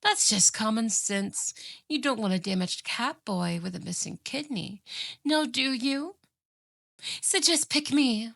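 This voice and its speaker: A woman's voice: on the high side, lively intonation, a little slow, vocal fry, fairly bright tone, no echo, clean studio sound, full character voice. A woman playing a possessive, obsessive fox-spirit yandere in a scripted ASMR roleplay, with a sugary, boastful, taunting manner.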